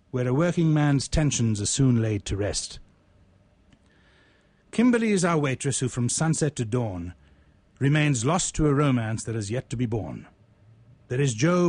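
A man speaks as a radio host and poet in a very dry recording with little room sound. His pitch sits in the middle, and his delivery is that of a storyteller sharing spoken word. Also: a little mellow, dry recording. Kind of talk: conversational storytelling and poetry recitation.

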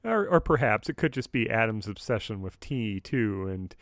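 A man co-hosting a casual discussion, speaking in a measured and agreeable way. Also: lowish voice, lively intonation, fairly bright tone, no room sound, studio quality. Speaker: podcast co-host. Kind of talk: casual discussion of a radio drama.

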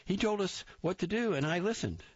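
A man's voice: sits high, expressive, a little fast, breathy edge, no room sound, pitched above the performer's own voice. A man voicing a persistent young army private who speaks in an eager, overly polite, pleading way. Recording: dull dry recording.

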